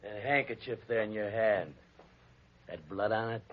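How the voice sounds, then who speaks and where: A slow, simple caretaker voiced by a man in a vintage radio play, mumbling and hesitant in a low voice. The audio is dull like an aged broadcast. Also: natural voice. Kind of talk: 1940s radio acting.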